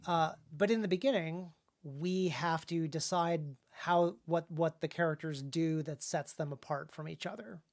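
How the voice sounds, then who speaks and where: A man hosting a casual discussion about craft, speaking haltingly and often repeating words as he thinks. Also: a little high. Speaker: podcast host and author.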